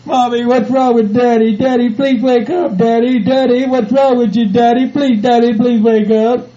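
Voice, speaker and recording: A woman's voice: a little high, steady tone, normal speed, warm tone, lightly acted. A woman voicing a worried son who pleads and whines. The recording sounds dull and lo-fi. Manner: pleading, whiny. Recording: dull lo-fi audio.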